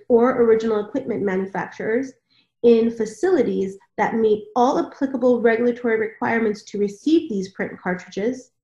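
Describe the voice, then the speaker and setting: A woman's voice: fairly high pitch, a little mellow. A woman hosting a discussion, reading formal requirements aloud and moderating. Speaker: discussion host. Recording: roomy, dull lo-fi mic.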